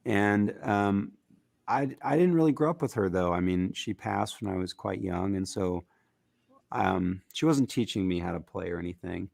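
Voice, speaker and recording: A man with a raspy, gritty voice, talking casually as a musician guest in an interview, rambling with fillers. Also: lowish voice, normal speed, no room sound, studio quality. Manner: relaxed, rambling with fillers and restarts.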